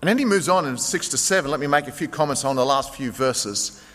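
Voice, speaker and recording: A man's voice: mid-range voice, lively intonation, fairly quick, fairly bright tone. A male pastor preaching a sermon in a church, emphatic and urging his listeners to act now. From Greek heritage. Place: church sanctuary. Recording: long reverb of a large hall.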